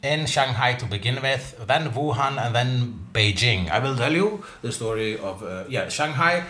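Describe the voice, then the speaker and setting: A man's voice: medium pitch, expressive, moderate pace, pushed and bright, reverberant. A man telling travel stories in a casual podcast chat, rambling with lots of fillers. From an island nation. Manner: rambling, filler-heavy storytelling. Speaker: comedian and podcast guest. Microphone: desk microphone.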